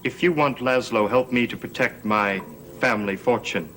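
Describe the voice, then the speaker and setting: An actor playing an insolent, scheming young heir in a gothic television soap, smug, formal and pointed. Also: male voice, mid-range voice, moderate pace, bright, forceful tone, a little hiss or hum, close to an everyday voice. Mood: smug, needling. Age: young adult.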